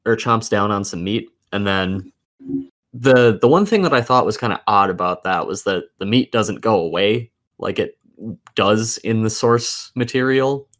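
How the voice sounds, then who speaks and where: A man's voice: lowish voice, a little slow, fairly bright tone. A man co-hosting a casual video game discussion, giving opinions with plenty of swearing. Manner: profane, opinionated. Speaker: podcast co-host.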